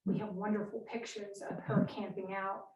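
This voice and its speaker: A woman from a historical society adding remarks during a Q&A. She speaks haltingly with many "um" fillers. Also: medium pitch, flat delivery, darkish tone, muffled recording. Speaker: historical society member commenting. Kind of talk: informal remarks in a Q&A. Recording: distant, roomy, faint background noise.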